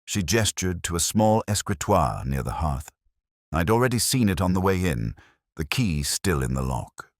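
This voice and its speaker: Very deep-voiced brooding detective narrator acted by a man. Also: very animated, no room sound, clean studio sound. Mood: brooding, reflective. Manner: measured, brooding, literary. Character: melancholy, observant male police inspector recounting a case. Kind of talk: scripted first-person detective audio drama narration.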